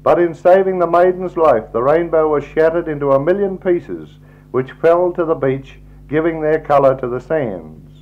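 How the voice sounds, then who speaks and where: Australian man narrating on dull vintage tape. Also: on the high side. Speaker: slideshow narrator. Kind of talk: 1960s scripted travelogue narration. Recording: dull, lo-fi 1960s tape recording.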